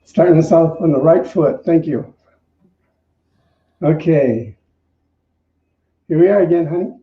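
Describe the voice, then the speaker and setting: A man hosting as a minister, giving an informal spiritual talk in a conversational, halting way. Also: fairly high pitch. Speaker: minister and service host. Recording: distant, echoey, dull room recording.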